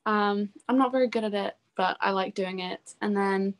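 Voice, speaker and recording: Female, young adult, medium pitch. Informal, enthusiastic, chatty interview guest. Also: fairly even intonation, moderate pace. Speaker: interview guest, singer-songwriter. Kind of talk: casual chat.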